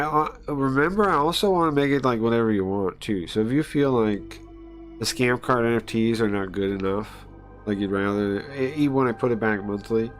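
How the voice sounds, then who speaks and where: A man livestreaming casually, thinking aloud, muttering to himself and repeating phrases as he goes. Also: on the low side.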